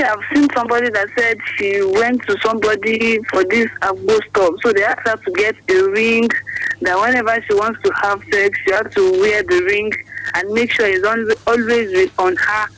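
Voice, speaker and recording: A man's voice: high voice, moderate pace, a bit bright, slight background noise. A man with a Nigerian accent chatting casually as a caller on a radio phone line. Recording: telephone line into radio broadcast.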